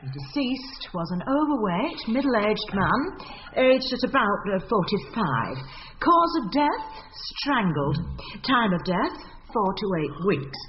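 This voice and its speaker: An actress playing a brisk, precise, formal female pathologist in a radio drama. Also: mid-range voice, big pitch swings. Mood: clinical. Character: brisk, clinical female mortuary doctor. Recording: muffled, faintly noisy recording.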